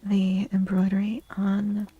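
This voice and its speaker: A woman speaking softly and breathily in a gentle, unhurried near-whisper, chatting as an ASMR livestream host and often softly repeating phrases. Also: mid-range voice, completely flat delivery, no room sound, dull audio. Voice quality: creaky. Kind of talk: soft-spoken ASMR livestream chat.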